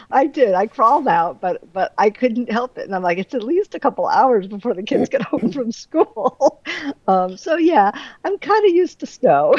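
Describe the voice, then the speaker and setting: A middle-aged woman chatting casually as an author in an interview, animated and quick to laugh. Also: fairly high pitch, breathy edge, no room sound, lo-fi sound. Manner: animated, laughs readily through her anecdotes.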